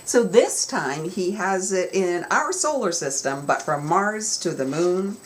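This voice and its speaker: A woman's voice: on the low side, expressive, a little slow. A woman children's author giving an instructional talk to young students, explaining with emphasis in a storytelling way. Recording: clear background noise.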